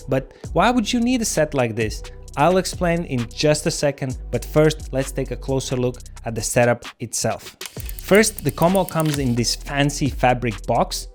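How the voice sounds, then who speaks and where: A man's voice: fairly high pitch, expressive, normal speed. Man presenting a casual gear review over music. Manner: conversational, explanatory, direct address to viewers. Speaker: gear reviewer and filmmaker, channel host. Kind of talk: casual scripted product review to camera. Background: background music.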